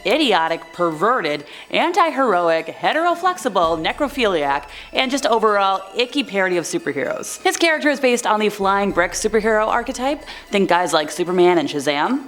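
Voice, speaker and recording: Wry, chatty woman narrating a video. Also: on the high side. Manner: chatty and wry, with sarcastic asides. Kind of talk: casual scripted commentary.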